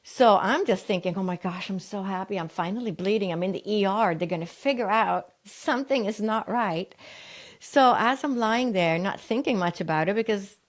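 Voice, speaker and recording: A woman's voice: medium pitch, moderate pace. A middle-aged woman, raised in Sweden, telling a personal story in an interview and acting out the voices of people she quotes. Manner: animated retelling, acts out quoted voices. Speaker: guest.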